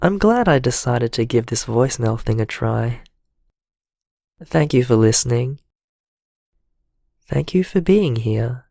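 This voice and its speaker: Man acting a slow, creaky, vulnerable man. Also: medium pitch, animated, mellow, dry recording, studio quality. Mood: apologetic, reflective. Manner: halting, hesitant, emotionally vulnerable. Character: traumatised gentle man leaving a heartfelt voicemail. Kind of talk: intimate audio drama voicemail monologue.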